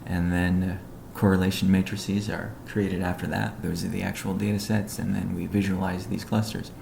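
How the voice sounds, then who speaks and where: A man giving a business briefing on a conference call as a company executive, in a measured, halting way with technical jargon. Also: sits low, little pitch movement, creaky. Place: conference call, echoey room. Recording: echoey room, faint background noise.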